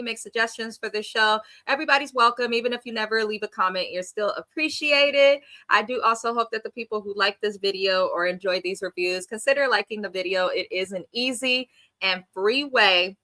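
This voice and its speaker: A young woman, sniffly from allergies, giving a slangy, animated recap of a reality TV episode. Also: fairly high pitch. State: stuffy nose from allergies. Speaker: reality TV reviewer. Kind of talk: casual recap and reaction.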